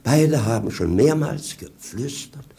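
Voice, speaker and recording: An actor in a 1950s German radio play, voicing a roguish jester who mocks and plays the ironic fairground barker. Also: male voice, medium pitch, lively intonation, a little slow, mellow. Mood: showman's relish. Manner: theatrical, ironic showman's patter. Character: roguish, mocking jester playing fairground barker, gleefully talking nonsense.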